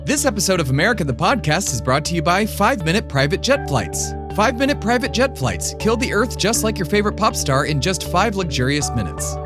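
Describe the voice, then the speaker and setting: Man as animated announcer over loud music. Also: high voice, brisk. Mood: peppy. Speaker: commercial announcer. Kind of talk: parody ad read.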